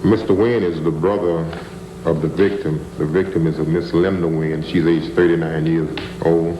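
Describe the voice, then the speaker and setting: A man's voice: deep voice, steady tone, normal speed, lo-fi sound. A man giving a formal, factual statement to the press as a police spokesman. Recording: echoey room, loud hum, old tape.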